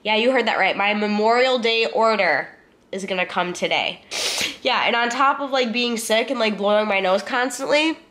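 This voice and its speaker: A woman's voice: a little high, normal speed, fairly bright tone. Stuffy-nosed woman chatting on the couch. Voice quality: nasal, stuffy. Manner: rambling, chatty, swears casually. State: congested with a cold. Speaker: vlogger. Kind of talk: casual chat to camera. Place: living room, on a couch. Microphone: handheld camera close to the face.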